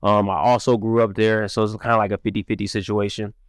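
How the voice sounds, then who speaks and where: A man from Cleveland, raised partly in Mississippi, talking casually as a music-marketer guest on a podcast, with lots of fillers, into a studio boom mic. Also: fast, clean tone, no room sound. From Cleveland, Ohio, raised partly in Mississippi. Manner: animated, repetitive fillers. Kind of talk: casual interview talk. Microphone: studio mic on boom arm.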